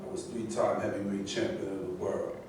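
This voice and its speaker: Guy acting a proud boxing great, lowish voice, very echoey room. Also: little pitch movement, brisk, lightly acted. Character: proud, scornful male heavyweight boxing legend. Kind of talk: staged play reading. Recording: echoey room, far mic, faint noise.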